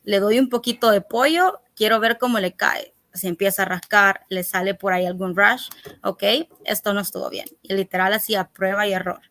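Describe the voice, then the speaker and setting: Woman calmly explaining pet diet tips. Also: mid-range voice, moderate pace, no room sound. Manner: many fillers, gentle explaining tone. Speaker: holistic pet health coach. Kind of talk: informal webinar talk.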